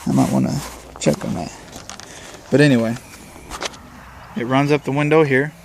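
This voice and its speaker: A man with a medium-pitched voice presents a casual how-to demonstration. He talks in plain, colloquial language, explaining each step as he goes without a script. The recording carries faint background noise. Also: expressive, normal speed, gravelly. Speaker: presenter of a hands-on installation guide.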